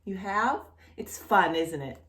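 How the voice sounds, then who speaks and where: A woman storyteller reads to little kids at an unhurried pace, with a highly animated, swooping voice. She is playful and expressive, talking straight to the child as if waiting for answers. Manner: playful, expressive, exaggerated reactions, addresses the child directly. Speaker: children's storyteller and channel host. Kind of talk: read-aloud children's story with questions to young listeners.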